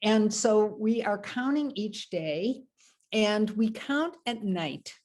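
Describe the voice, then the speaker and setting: A woman's voice: medium pitch, expressive, slow pace, a little mellow. An older American woman, a rabbi, leading an informal Torah study on a video call, talking in a loose, meandering way with many ums and asides. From United States.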